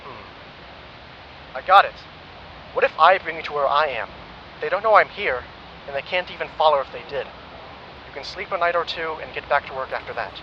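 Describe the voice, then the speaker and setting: An actor playing a calm, protective male ally who reasons patiently and worries about his friend. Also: on the high side, moderate pace, long pauses, lightly acted. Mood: reasoning. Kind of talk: audio drama acting. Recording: dull, noisy, lo-fi recording.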